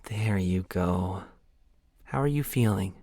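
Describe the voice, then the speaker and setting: A man voicing a gentle young game character who calms an injured stranger with soft, reassuring words. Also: sits low, slow pace, dry recording, studio quality, full character voice. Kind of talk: voiced visual novel lines.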